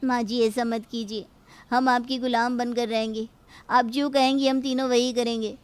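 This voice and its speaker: A woman's voice: on the high side, level tone, moderate pace, smooth, close to an everyday voice. A woman playing a humble, desperate young daughter-in-law in a cartoon story, submissive and imploring. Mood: begging. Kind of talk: Hindi cartoon story acting.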